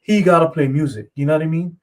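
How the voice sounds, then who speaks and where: A man's voice: a little high, quick pace. A man co-hosting a casual art livestream, rambling and leaning on "you know what I mean". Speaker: co-host and comic artist.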